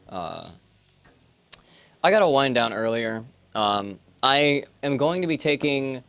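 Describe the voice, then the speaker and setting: A man in his late twenties, a streamer, chatting casually and rambling with lots of fillers. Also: medium pitch. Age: 20s. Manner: relaxed, rambling, many fillers. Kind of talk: casual chat on a livestream.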